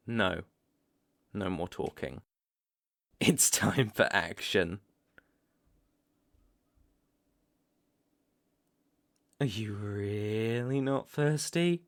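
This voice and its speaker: A man with a British accent playing a stern young vampire hunter, menacing yet reluctantly protective, in an ASMR roleplay with deliberate pauses and sighs. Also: medium pitch, gravelly, a bit bright, exaggerated voice. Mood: menacing, testing.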